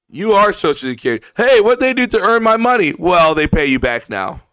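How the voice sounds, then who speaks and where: A man heckles and mocks a clip with sarcastic asides. His voice is smooth and clear, and it is recorded dry and close, with no room sound. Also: sits high, very animated, moderate pace, bright, forceful tone, no room sound. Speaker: commentator reacting to a clip. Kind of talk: mocking reaction commentary.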